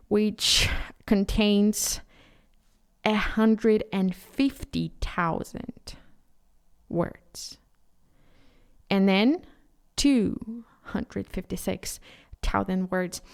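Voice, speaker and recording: A Ukrainian woman, a native Ukrainian speaker, hosting a solo podcast in English with an earnest, halting delivery full of restarts. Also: mid-range voice, smooth. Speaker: podcast host.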